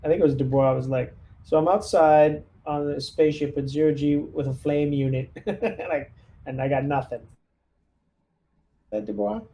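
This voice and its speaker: Creaky, higher-pitched mellow man. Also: moderate pace, slight background noise, low-quality recording. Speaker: tabletop player. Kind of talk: casual chat.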